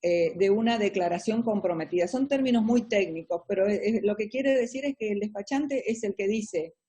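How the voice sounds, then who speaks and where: Husky Argentine businesswoman explaining. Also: medium pitch, moderate pace, mellow. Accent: Argentine Spanish. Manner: explanatory, hesitant with many fillers. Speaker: businesswoman guest. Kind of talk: interview answers.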